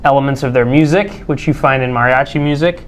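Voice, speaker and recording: A middle-aged man, born in Mexico with Spanish as his first language, talks beer in a relaxed, chatty way, with plenty of ums and uhs. His pitch sits at an ordinary middle height. A faint hum of room noise runs behind him in the office. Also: fast talker. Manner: relaxed, chatty, many fillers. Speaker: beer review co-host. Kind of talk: casual tasting chat. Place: office room with a TV screen, indoors.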